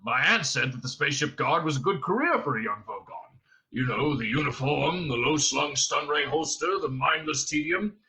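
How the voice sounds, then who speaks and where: Huge, dim, booming alien guard. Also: male voice, lively intonation, sharp tone. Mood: hesitant, dim. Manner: slow, dull-witted. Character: huge dim-witted male alien guard, bellowing and sluggish.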